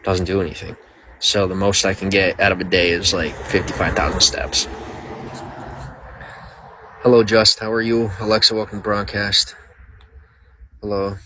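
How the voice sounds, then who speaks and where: A man's voice: low voice, flat delivery, moderate pace, pauses often, background noise. A young man streaming live, rambling casually while greeting viewers by name and reading out their comments. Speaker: livestreamer.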